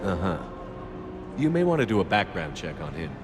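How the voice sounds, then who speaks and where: An actor playing a dry-witted, confident military commander in a video game, speaking curtly and deadpan. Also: male voice, low voice, moderate pace, music in the background. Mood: cool, matter-of-fact. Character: steady, dry-witted male military commander, space hero. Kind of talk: cinematic video game dialogue.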